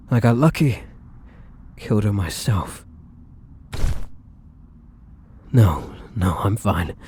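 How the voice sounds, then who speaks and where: A man playing a tender, devoted, battle-weary vampire lover in an intimate ASMR roleplay, speaking softly and breathily with gentle, hesitant, affectionate pauses. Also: fairly low pitch, full character voice. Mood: gentle, reassuring. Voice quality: soft, breathy, husky.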